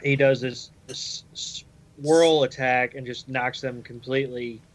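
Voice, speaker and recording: A man's voice: medium pitch, fairly even intonation, slow pace, low-quality recording. A man co-hosting a podcast, chatting casually and recapping in a conversational way. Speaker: podcast co-host. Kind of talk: casual chat and episode recap.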